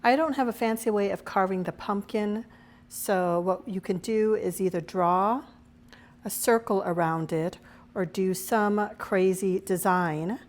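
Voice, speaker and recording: A woman hosting her own cooking show, her voice a little raspy as she recovers from a cold, chatting through each step as she cooks. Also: medium pitch, a little mellow. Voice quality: slightly raspy. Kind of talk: casual cooking demonstration.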